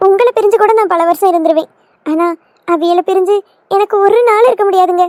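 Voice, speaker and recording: A woman's voice: very high-pitched, a little fast, darkish tone, slight background noise. A woman playing an affectionate young daughter-in-law in a family skit, gushing and emotional, with a southern Tamil Nadu accent. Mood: devoted, gushing.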